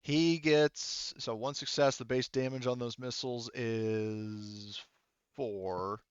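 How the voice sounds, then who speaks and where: Clear-voiced man running a tabletop game. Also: medium pitch, fairly even intonation, slow pace, no echo, highs cut off. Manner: informal, thinking aloud. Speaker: game master. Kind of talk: casual tabletop game narration with dice rulings.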